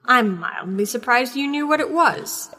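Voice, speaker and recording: A woman's voice: high-pitched, animated, bright, forceful tone, long reverb tail, a little hiss or hum, heavily acted. Woman as bossy agent in a ringing room. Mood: bossy, wry. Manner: brisk, sarcastic. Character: bossy, sardonic young female tech-savvy agent. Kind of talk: comedy audio drama.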